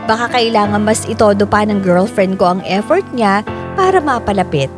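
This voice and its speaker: A woman's voice: mid-range voice, expressive, moderate pace. A woman with a Filipino accent narrating a dramatized first-person story. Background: music.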